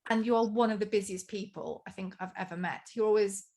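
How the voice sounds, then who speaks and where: A woman hosts a podcast with a mid-range voice, and she is chatty, upbeat and quick to make fun of herself. Her talk is warm and conversational as she introduces her guests. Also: medium pitch, fairly even intonation. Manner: chatty, enthusiastic, self-deprecating. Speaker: podcast host.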